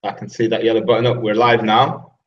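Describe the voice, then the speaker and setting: A man's voice: on the low side, flat delivery, fairly quick. A man co-hosting a live online masterclass over a video call, talking loosely with many fillers and speaking in a friendly way to viewers. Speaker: co-host, business partner. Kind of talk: casual live-stream presentation. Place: Saigon, Vietnam, at night. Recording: distant, muffled remote call audio.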